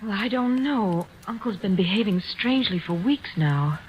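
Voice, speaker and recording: A woman's voice: medium pitch, expressive, moderate pace, a little mellow, slight background noise, lightly acted. A woman playing a hesitant, anxious young woman who stammers in old radio drama. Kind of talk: 1940s radio acting.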